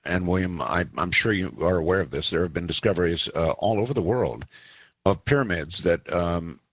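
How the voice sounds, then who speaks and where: A man's voice: low-pitched, normal speed, no room sound. A man hosting a live radio call-in show, talking with guests and callers. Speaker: radio host.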